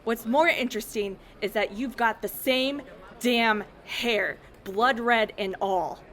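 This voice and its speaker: A woman acts a hostile female enforcer in a wasteland audio drama. Her voice sits fairly high, and she speaks with sneering authority, accusing and suspicious of outsiders. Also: takes it slowly, fairly bright tone. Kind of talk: post-apocalyptic audio drama acting.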